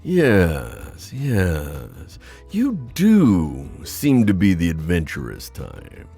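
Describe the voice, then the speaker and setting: A man playing a playful, scheming sea god in an ASMR fantasy roleplay, theatrical and teasing, musing aloud in drawn-out phrases. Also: sits low, wildly swooping pitch, warm tone, breathy edge, soft background music, exaggerated voice. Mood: suave, probing.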